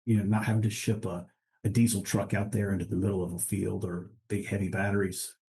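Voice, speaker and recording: Guy with a low warm mellow voice chatting easy on a podcast, lots of restarts. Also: a little fast, creaky. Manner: relaxed, many restarts, easy laughs. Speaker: guest, international trade director. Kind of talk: casual interview conversation.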